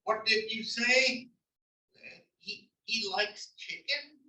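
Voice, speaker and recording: A man playing a cheerful, hard-of-hearing father in his nineties, halting and befuddled, in a comedy table read. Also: sits high, steady tone, bright, forceful tone, heavily acted. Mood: cheerful, muddled. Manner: halting, repetitive, befuddled but affectionate. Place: library room table read before a mic at distance. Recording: echoey room, distant mic.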